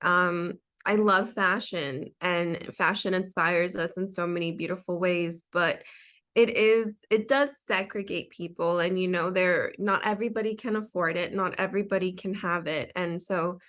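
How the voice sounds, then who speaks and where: A woman's voice: lowish voice, steady tone, moderate pace, a bit bright. A young adult woman, a designer and singer, telling her story as an interview guest in a chatty way full of fillers and restarts.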